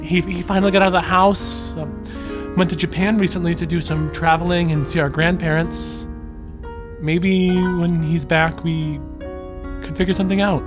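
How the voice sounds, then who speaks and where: A man playing an earnest, tentative young graduate in an audio drama, soft-spoken and halting. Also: fairly high pitch, little pitch movement, music in the background, close to an everyday voice. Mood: hopeful.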